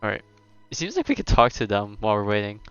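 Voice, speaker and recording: A game streamer giving casual live gameplay commentary in a relaxed, chatty style. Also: sits low, fast, smooth. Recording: dull lo-fi sound, game music behind. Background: game music.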